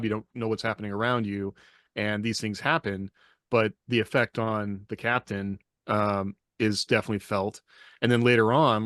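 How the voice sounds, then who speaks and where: A man's voice: fairly low pitch, fairly bright tone, dry recording, clean studio sound. A man co-hosting a podcast, thinking aloud reflectively in casual panel discussion. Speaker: podcast co-host.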